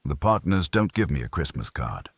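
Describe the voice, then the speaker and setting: A man playing a guarded, impassive working-class porter in an audio story, talking in terse, flat phrases. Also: rumbling low, normal speed, husky, no room sound, heavily acted. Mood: quietly defensive.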